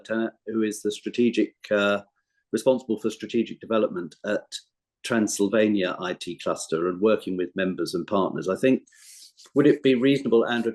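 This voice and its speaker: Male panel moderator, lowish pitch, polite, formal, hesitant phrasing, online discussion. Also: a little mellow.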